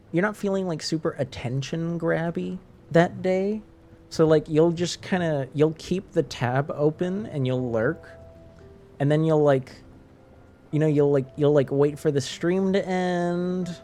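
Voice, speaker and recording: A man's voice: on the high side, darkish tone, a little hiss or hum. A man from French Canada with a light French Canadian accent, talking casually to his stream viewers, rambling with many restarts and fillers.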